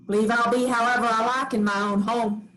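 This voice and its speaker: An actress reading the part of a sharp-tongued farm matriarch in a staged play reading, curt and defiant. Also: female voice, on the high side, level tone, brisk, slight background noise, close to an everyday voice. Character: hard-bitten farm matriarch, older woman, sharp-tongued.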